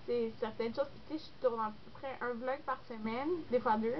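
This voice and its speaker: Young québécois girl with a cold, stuffy nose, rambly vlog chat, kinda high voice, dull lo-fi sound. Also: steady tone, moderate pace. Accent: Québécois French. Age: young adult. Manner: chatty, rambling, lots of fillers. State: congested with a cold. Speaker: beauty vlogger. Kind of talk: casual chat to camera. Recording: dull lo-fi recording with faint noise.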